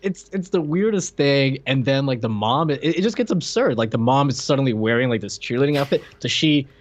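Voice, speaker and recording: A man's voice: medium pitch, lively intonation, brisk. A man co-hosting a podcast in loose, casual, conversational talk. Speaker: podcast co-host. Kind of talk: casual chat about a film.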